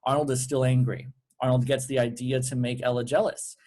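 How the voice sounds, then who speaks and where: Male narrator, medium pitch, reading stage directions for a cast table read. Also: level tone, brisk, mellow.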